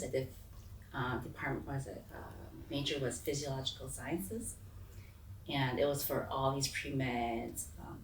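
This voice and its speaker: Low-voiced American woman, echoey room. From raised on US military bases, largely in South Korea. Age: 40s. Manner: candid, informal, digressive. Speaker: interview guest. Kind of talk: conversational life-story telling. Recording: echoey room, distant mic, faint noise.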